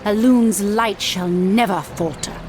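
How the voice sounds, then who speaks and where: A woman's voice: medium pitch, takes it slowly, darkish tone, lightly acted. A woman playing a fierce, proud elven warrior priestess in a video game, with formal, dramatic delivery. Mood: defiant. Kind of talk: video game cutscene acting.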